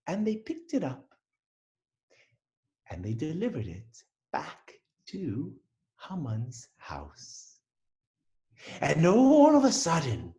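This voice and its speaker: A man telling a playful story to children on a video call, jokey and animated. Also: echoey room. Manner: animated, jokey, swooping pitch. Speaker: storyteller for an online Hebrew school. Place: home in Toronto.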